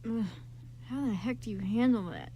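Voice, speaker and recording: A woman's voice: on the high side, moderate pace, dark tone, dull audio, close to an everyday voice. Woman as blunt, wary guy, slightly noisy recording. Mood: uneasy. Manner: hesitant, slangy, blunt. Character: Quinn, blunt, wary, loyal male friend. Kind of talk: amateur radio play acting.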